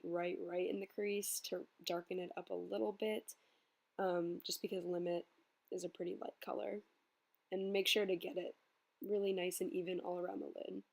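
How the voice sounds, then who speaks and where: A young woman with a slightly hoarse voice, narrating a makeup tutorial in a relaxed, chatty way. She fills her pauses with ums as she talks through each step. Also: on the low side. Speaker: beauty vlogger.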